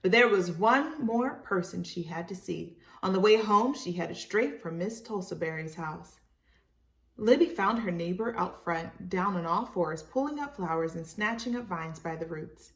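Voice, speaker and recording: A woman's voice: fairly low pitch, animated, measured pace, fairly bright tone, distant mic. A woman reading a storybook aloud expressively for children, encouraging and speaking to them as friends. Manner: encouraging, addresses young listeners as friends.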